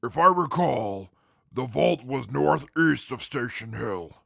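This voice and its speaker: An actor playing a stern, gruff, hulking wasteland companion with a raspy growl and a slow, formal, commanding way of speaking in a radio drama. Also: male voice, medium pitch, expressive, pushed and bright, full character voice. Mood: measured, gruff. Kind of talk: post-apocalyptic radio drama.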